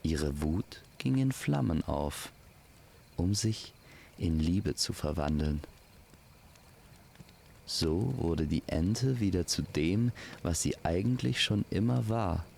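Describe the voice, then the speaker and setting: A man's voice: deep voice, animated, takes it slowly, vocal fry, a little mellow, slight background noise. A man narrating a scripted fairy tale, speaking intimately to the listener as to a friend. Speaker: storyteller.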